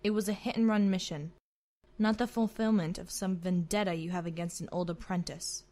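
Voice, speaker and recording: Woman playing a proud, sharp-tongued starship admiral. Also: on the low side, fairly even intonation, a little fast, lightly acted. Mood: defiant, stern. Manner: curt, authoritative, sardonic. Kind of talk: amateur sci-fi roleplay acting.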